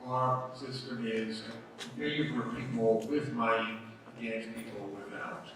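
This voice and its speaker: A man in his sixties with a slight East Coast accent speaks at a podium in a big, very echoey hall. His voice is bright and carries from some distance off the mic, over a faint hum. He sounds earnest and chatty, trading easy jokes with the room. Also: medium pitch, flat delivery, unhurried, faint music behind. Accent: slight East Coast American. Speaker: political candidate. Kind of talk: campaign forum speech. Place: large hall.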